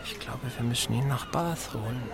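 An actor playing a genial, blunt older married admiral in a German radio drama, talking in a chatty, emphatic, hesitating way. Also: male voice, mid-range voice, a little fast, vocal fry, a crowd in the background, lightly acted. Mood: musing, hearty.

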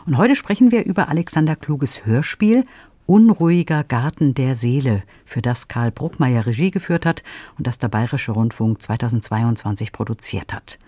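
A man hosts a radio programme with scripted introductions and questions. His mid-pitched voice is mellow and soft-edged. He sounds orderly and informative in a dry studio. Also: lively intonation, moderate pace, no echo. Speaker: radio host.

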